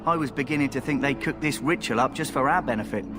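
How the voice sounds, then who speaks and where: An actor playing a cheeky, wary rogue recruit in a fantasy game, with an informal, streetwise way of talking. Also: male voice, mid-range voice, fast talker, noisy background, close to an everyday voice. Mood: wry, wary. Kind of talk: video game dialogue. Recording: game music behind the voice.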